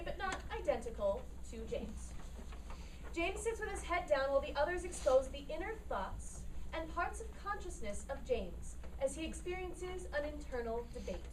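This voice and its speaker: A woman's voice: extremely high pitch, very animated, normal speed, darkish tone. A woman reading out stage directions as scripted narration at an amateur staged reading. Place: echoey room, recorded from afar. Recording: distant, roomy, faint noise.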